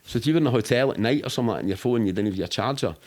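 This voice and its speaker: A man's voice: medium pitch, lively intonation, very fast, a little mellow. A middle-aged man with a Glaswegian accent, telling rambling, sweary, matter-of-fact anecdotes in casual interview chat. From Scotland. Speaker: podcast guest.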